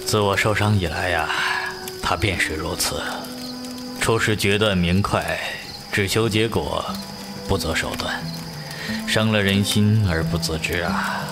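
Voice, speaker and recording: A man's voice: sits low, measured pace, music in the background. Man acting an avuncular middle-aged martial-arts master. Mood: reminiscing. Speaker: voice actor. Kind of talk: Chinese wuxia audio drama acting.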